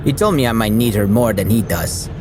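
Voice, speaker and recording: A man's voice: fairly low pitch, fairly quick, music in the background, lightly acted. A man playing a casual, opinionated driver in an audio drama, grumbling as he talks. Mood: easygoing. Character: casual, opinionated Filipino male shapeshifter driver.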